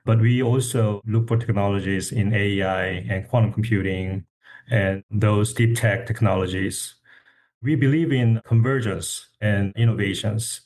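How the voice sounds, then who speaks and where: A man with a fairly low voice and a Korean accent. He is an executive guest on a podcast, explaining his background in an interview style. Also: steady tone, measured pace, darkish tone, rough recording. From South Korea. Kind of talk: interview self-introduction.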